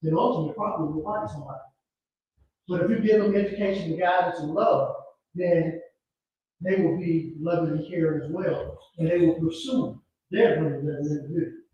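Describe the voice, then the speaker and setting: Man, fairly high voice, panel speaker, very echoey distant recording. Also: a little fast, dark tone, lo-fi sound, a little hiss or hum. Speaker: panelist and nonprofit director. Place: echoey room at a live event, far from mic.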